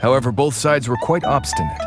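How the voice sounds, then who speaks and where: A man's voice: medium pitch, animated, a little fast, natural voice. A man playing a shrewd, knowing agent. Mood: wary. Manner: conspiratorial, dry and knowing. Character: shrewd male agent of a foreign faction, wary. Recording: game audio with music behind.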